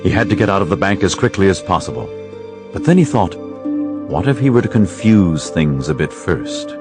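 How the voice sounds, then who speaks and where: Very deep male drama narrator. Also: big pitch swings, normal speed, music in the background. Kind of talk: scripted audio drama narration.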